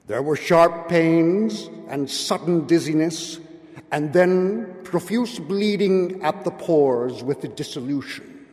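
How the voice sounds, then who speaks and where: A man giving a dramatic recitation of a gothic story, theatrical in delivery, moving between hushed and forceful declamation. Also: a little high. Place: large hall at a public meeting. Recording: live hall with long reverb.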